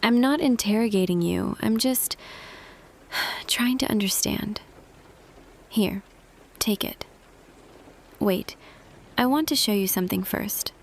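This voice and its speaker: A woman playing a tender, quietly smitten office boss in an intimate ASMR roleplay, speaking softly and deliberately, often half whispering. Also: mid-range voice, vocal fry. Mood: tender, hesitant. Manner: slow, hushed, deliberate, pausing. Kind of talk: ASMR roleplay audio, intimate scripted monologue.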